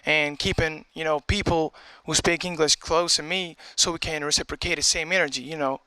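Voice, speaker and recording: A man's voice: on the high side, fairly even intonation, brisk, bright, forceful tone, clear, resonant tone, no room sound, rough recording. A young man from Algeria chatting casually, full of slang and filler phrases. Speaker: audience member. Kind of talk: casual chat. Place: TV studio.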